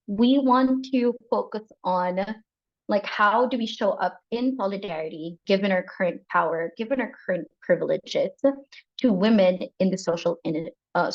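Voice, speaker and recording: A woman hosting an online workshop who thanks people and sometimes restarts mid-sentence. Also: medium pitch. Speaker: workshop host. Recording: muffled lo-fi audio.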